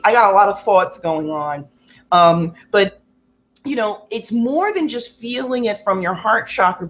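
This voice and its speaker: A woman with a loud, carrying voice giving a rambling, casual self-help talk as a Reiki practitioner, acting out quotes with big exaggerated jumps in pitch. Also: dull audio. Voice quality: loud voice that carries. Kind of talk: casual motivational talk to viewers.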